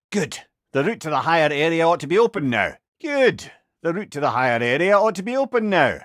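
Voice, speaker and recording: Game companion: witty older Scottish advisor, loyal and wry, high-pitched for a man, close dry sound. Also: no room sound, heavily acted. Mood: brisk encouragement. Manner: expressive, wry, folksy. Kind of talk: video game voice lines.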